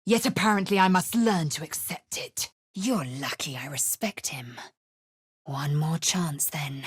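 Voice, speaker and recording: Casting: proud, fierce alien warrior woman for a fantasy game; low husky voice, curt and scornful, very dry sound. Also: measured pace, no room sound, full character voice. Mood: cold, disdainful. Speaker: voice actress in a fantasy video game. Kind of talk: dramatic fantasy game voice lines.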